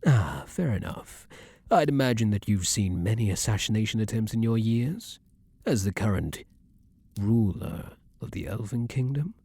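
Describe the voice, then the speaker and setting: A man performs a vampire king in an intimate ASMR roleplay, with a low, mellow voice recorded close and dry. He speaks in formal, archaic courtly phrases, measured and tender toward a former enemy. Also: animated, slightly rough, dry recording. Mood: wry, admiring.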